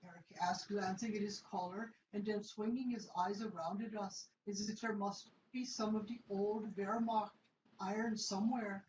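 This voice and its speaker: A woman's voice: medium pitch, steady tone, moderate pace, dull audio, very noisy. Woman narrating in an echoey room. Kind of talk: amateur radio play reading.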